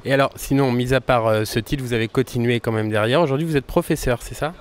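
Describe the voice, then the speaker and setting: Quick-talking young interviewer. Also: male voice, medium pitch, slight background noise. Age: young adult. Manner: quick, friendly questioning, restarts himself. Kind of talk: casual interview questions. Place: outdoors at a summer concert event.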